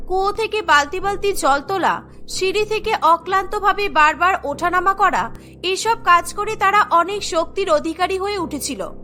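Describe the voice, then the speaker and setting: A woman's voice: very high-pitched, sharp tone. A woman narrating a scripted cartoon story as its storyteller. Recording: background music bed.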